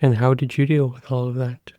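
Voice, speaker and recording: A man, a physician hosting a podcast, reading a formal introduction and asking interview questions in a measured way. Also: medium pitch, level tone, mellow, dry recording.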